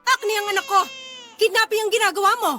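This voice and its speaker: A woman playing a furious, betrayed young wife and protective mother in a Filipino radio drama, confrontational and tearful, shouting with biting sarcasm. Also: very high-pitched, steady tone, quick pace, exaggerated voice. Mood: defiant, furious.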